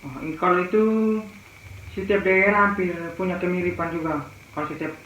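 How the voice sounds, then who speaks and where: A man's voice: high voice, fairly quick, pushed and bright. A man from East Nusa Tenggara with an eastern Indonesian accent, answering questions as an interview guest. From Malaka, East Nusa Tenggara, Indonesia. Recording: very echoey, muffled, faint noise.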